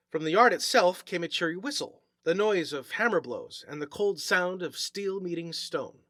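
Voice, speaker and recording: A man reading an eerie classic short story aloud as scripted narration, with theatrical, deliberate phrasing. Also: fairly high pitch, pushed and bright, dry recording, studio quality. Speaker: story reader.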